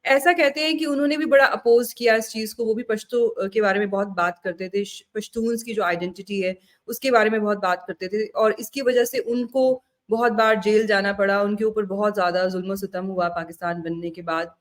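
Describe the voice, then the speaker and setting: A woman's voice: medium pitch, steady tone, normal speed, hardly any pauses. An Indian woman hosting a political interview, speaking conversationally and mixing in English words. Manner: mixes Hindi-Urdu with English words. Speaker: interview host.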